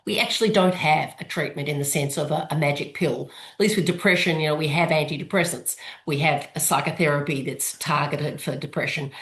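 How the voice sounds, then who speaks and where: Female co-author, low voice, casual webinar explaining, echoey room. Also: brisk, hardly any pauses, a bit bright. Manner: adds points with fillers and repeats. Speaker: co-author guest speaker. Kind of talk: webinar talk. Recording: roomy echo.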